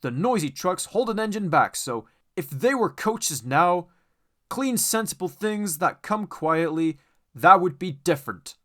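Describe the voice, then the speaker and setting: Storybook steam engine, played by a man: proud, complaining, huffy, very animated delivery. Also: high voice, measured pace, pitched above the performer's own voice, full character voice. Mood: grumbling. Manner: huffy, emphatic, puffing complaints.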